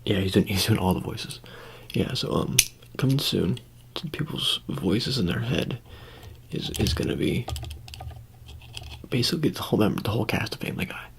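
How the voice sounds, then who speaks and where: A man doing an improvised ASMR roleplay in whispers and soft speech, rambling and hesitant with a deadpan delivery, close to the microphone. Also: lowish voice, moderate pace, gravelly, long pauses, noisy background.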